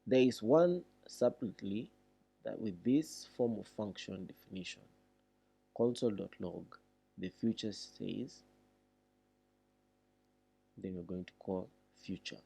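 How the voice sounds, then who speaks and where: A man giving a read-along programming tutorial, reading deliberately and thinking aloud as he explains. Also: on the low side, creaky, warm tone, husky.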